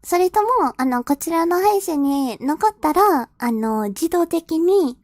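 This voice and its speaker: A woman's voice: very high-pitched, animated, normal speed, darkish tone, dry recording. A woman streamer reading fanfiction aloud, giggling and stumbling over the words as she goes.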